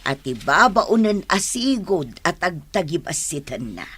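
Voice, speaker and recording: Motherly older woman performed by an actress, radio play, emphatic, husky, very animated melody, unhurried. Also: on the low side, exaggerated voice. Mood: stern, encouraging. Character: older female relative, caring and admonishing. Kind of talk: audio drama acting.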